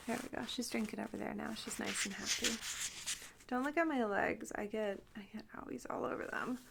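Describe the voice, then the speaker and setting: A woman rambling softly and in whispers as an ASMR host, with a cutesy, coaxing, playfully teasing manner. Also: medium pitch, fairly even intonation, a little fast, gravelly. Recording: faint background noise.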